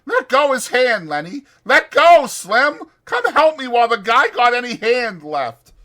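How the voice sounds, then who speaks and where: A man voicing an urgent, protective ranch hand with a commanding, sharp-tongued way of talking, in an audiobook. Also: very high voice, huge pitch swings, unhurried, voice pushed up, full character voice. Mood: urgent shouting. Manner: yelling, commanding.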